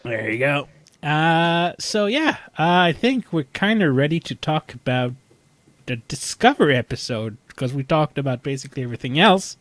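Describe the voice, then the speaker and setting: A man in his thirties hosting a casual fan discussion, talking in a halting, rambling way as he recaps. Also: on the high side, animated, fairly bright tone, no room sound. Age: 30s. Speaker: podcast host.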